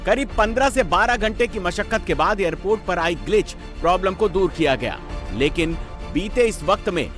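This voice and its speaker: Animated high-pitched male news narrator. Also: normal speed, soft background music.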